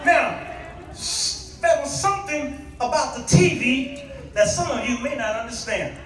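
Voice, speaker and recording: A very high-voiced American man preaches in a heavily echoing hall. His delivery is theatrical and exclamatory, with drawn-out emphasis and questions thrown out to the congregation. The pitch swings widely from phrase to phrase. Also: expressive, fairly bright tone. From Chicago, United States. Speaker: guest preacher. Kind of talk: nostalgic sermon to a congregation. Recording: echoey hall, distant microphone.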